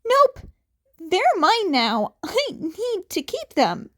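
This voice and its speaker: A woman's voice: very high-pitched, wildly swooping pitch, takes it slowly, fairly bright tone, voice pushed up, full character voice. A woman voicing a defensive, snarky teenage boy vigilante who blurts and snaps back, in an audiobook story. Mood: stubborn. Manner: hesitant stammering, blurting, snapping back. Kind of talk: audiobook character acting.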